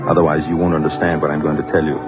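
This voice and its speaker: Sad sensitive reporter in old radio drama, really low voice, earnest, muffled old recording. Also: male voice, level tone, racing. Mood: brooding. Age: 30s. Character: lonely, sensitive male newspaper reporter narrating his confession. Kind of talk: mid-century radio drama acting. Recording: muffled vintage radio recording with loud music.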